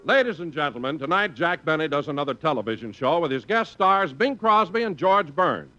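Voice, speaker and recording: Male announcer, bright and lively, hearty sell, muffled old radio. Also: fairly quick, faint music behind. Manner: hearty, booming salesman delivery.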